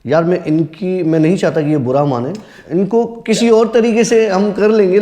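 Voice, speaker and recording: A man speaks in a dark, relaxed tone that sits slightly high. He tells stories conversationally, in a serious and earnest way. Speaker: storyteller guest on a horror story show. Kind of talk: casual conversational storytelling.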